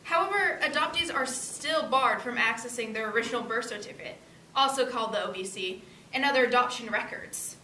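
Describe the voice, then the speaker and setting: A woman's voice: on the high side, a little fast, bright, forceful tone. A woman delivering scripted, informational narration on stage. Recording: echoey room, distant mic, faint noise.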